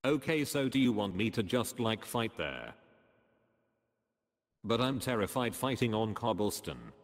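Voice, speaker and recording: A man's voice: on the low side, big pitch swings, unhurried. A man speaking casual dubbed game dialogue as himself in a blunt, dismissive, sweary manner. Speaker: amateur voicing himself in a game mod.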